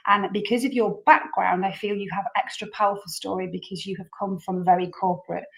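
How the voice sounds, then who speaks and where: A woman's voice: mid-range voice, steady tone, fast, creaky, fairly bright tone, poor audio. A woman hosting a podcast, introducing her guest in an earnest, rambling way with many repeated words. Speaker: podcast host. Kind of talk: casual interview chat.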